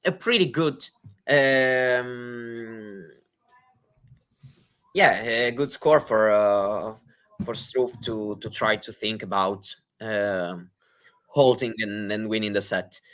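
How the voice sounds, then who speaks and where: Slow, halting man analysing tennis. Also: lowish voice, a bit bright. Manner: hesitant, many fillers and restarts. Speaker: tennis co-commentator.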